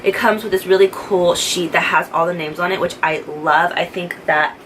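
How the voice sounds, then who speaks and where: A young woman whose voice is stuffy and congested from a cold rambles cheerfully through a makeup product review. Her voice sits a little low, and she speaks close to the camera in a home room with faint ambient sound behind her. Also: fairly even intonation, moderate pace, fairly bright tone, slight background noise, low-quality recording. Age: young adult. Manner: chatty, rambling, enthusiastic. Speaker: beauty vlogger. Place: home beauty room, indoors. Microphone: camera at close range.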